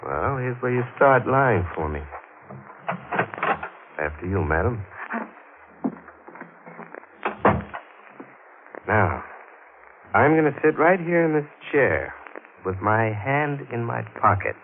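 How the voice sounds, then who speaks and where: An actor playing a menacing, taunting fugitive gunman in 1940s radio thriller style, with mock-courteous threats and drawn-out pauses. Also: medium pitch, lively intonation. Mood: coolly controlling. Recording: noisy, tinny old-time radio recording.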